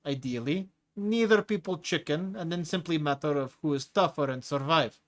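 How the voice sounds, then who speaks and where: A man voices a huge, cocky tiger-man prisoner with claws and a thick accent. He talks in broken English, relishing every brawl he recalls. His delivery swings between low, drawn-out menace and sudden loud bursts. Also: natural voice. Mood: boisterous. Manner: boastful, relishing violence. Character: hulking clawed male tiger-like prisoner, gruff, cocky.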